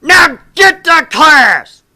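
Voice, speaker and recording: A man voicing a furious military drill sergeant who barks menacing, drawn-out threats at recruits. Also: very high-pitched, sing-song, bright, forceful tone, raised voice, voice pushed up, exaggerated voice.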